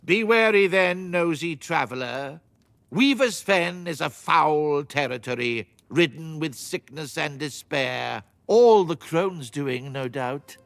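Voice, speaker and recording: A man's voice: fairly high pitch, animated, slow, vocal fry, a bit bright, no room sound, full character voice. A man playing an ominous, theatrical storyteller in a fantasy video game, foreboding in manner. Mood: wary, foreboding. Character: ominous, theatrical storyteller of a cursed village's tragedy. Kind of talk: dramatic video game narration. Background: music.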